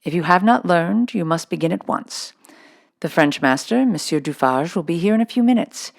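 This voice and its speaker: A woman's voice: lowish voice, lively intonation, normal speed, vocal fry, no echo, clean studio sound. A woman voicing a severe, imposing headmistress in a children's storybook, clipped, prim and commanding with tart politeness.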